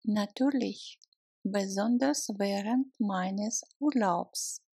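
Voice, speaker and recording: A woman's voice: medium pitch, very slow, creaky, mellow, husky, no room sound, clean studio sound. A woman language teacher drilling short phrases, saying each one, breaking it into chunks, then repeating it. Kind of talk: slow phrase drill with translations.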